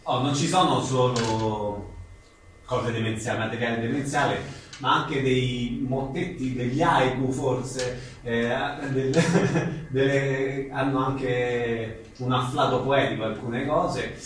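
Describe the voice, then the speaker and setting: Casting: male interviewer, mid-pitched, thoughtful and halting, heard in a very echoey room with faint noise. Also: steady tone, recorded from a distance. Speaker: interviewer presenting a book. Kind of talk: informal live interview questioning. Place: echoing indoor venue at a live book presentation.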